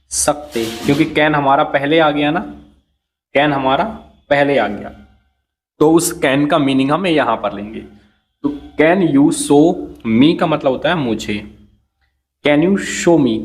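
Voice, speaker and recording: Slow male English teacher. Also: fairly high pitch. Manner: slow, repetitive, checks understanding with "ठीक है". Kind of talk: Hindi-medium English lesson, word by word translation.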